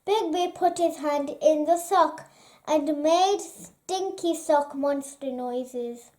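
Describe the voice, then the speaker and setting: A gentle, expressive reader telling a children's bedtime story aloud. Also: high voice, slow pace.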